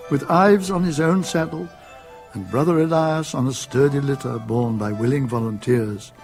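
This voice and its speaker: A male narrator tells a scripted story for a radio drama. His voice is middling in pitch and gravelly, with a measured literary delivery. Faint music plays behind him. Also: darkish tone.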